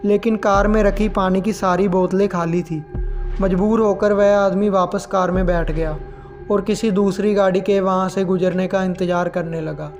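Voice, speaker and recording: A man's voice: high-pitched, steady tone, normal speed, faint music behind. A man narrating scripted horror stories as the host of a storytelling channel.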